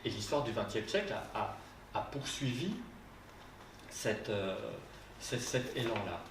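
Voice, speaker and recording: A man's voice: fairly low pitch, unhurried, slight background noise. A man on a panel discussing theatre, hesitant, with many fillers and restarts. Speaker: translator. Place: theatre hall. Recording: roomy hall mic from a distance.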